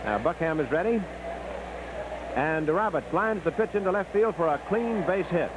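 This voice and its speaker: Man, fairly high pitch, 1950s baseball commentary, very noisy old film sound. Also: expressive, normal speed. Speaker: newsreel sports narrator. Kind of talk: 1950s baseball play-by-play narration.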